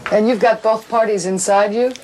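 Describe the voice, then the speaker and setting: A woman's voice: medium pitch, steady tone, normal speed, a little mellow, a little hiss or hum, low-quality recording. A woman working as a television news reporter, interviewing and narrating in a broadcast style.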